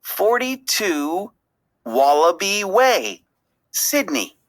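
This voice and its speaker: A woman's voice: lowish voice, big pitch swings, very slow, dry recording, studio quality, voice pushed up, exaggerated voice. A woman voicing a friendly, forgetful female fish in a storybook, chirpy and artless. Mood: slow, puzzling out.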